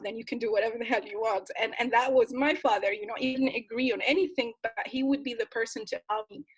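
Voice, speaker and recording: A young woman from Tunisia tells her own story as an interview guest, earnest and passionate. Her voice sits a little high for a woman. She often restarts phrases and breaks into soft laughs between thoughts. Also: low-quality recording. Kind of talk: candid personal storytelling.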